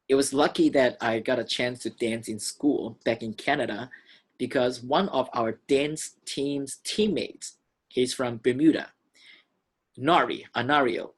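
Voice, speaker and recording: A man in his thirties from Hong Kong tells his own story in a relaxed, chatty vlog. His voice sits at an ordinary pitch for a man, and he talks in a friendly way with the odd "um". He is speaking in his gym. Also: slightly rough. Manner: friendly, conversational storytelling with fillers. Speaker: fitness instructor and channel host. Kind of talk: casual self-introduction vlog.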